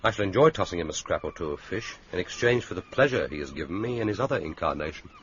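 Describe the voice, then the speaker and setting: An actor playing a suave, wry Western secret agent with urbane, formal phrasing in a radio drama. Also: male voice, low voice, lively intonation, fast. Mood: wry, courteous. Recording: dull, noisy radio drama recording.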